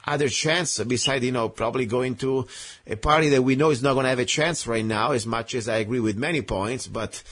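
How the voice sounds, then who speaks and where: Husky Italian-accented radio host. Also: male voice, medium pitch, brisk, a bit bright. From Italy. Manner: emphatic, with frequent "uh" and "you know". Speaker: radio talk show host. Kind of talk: opinionated political radio chat.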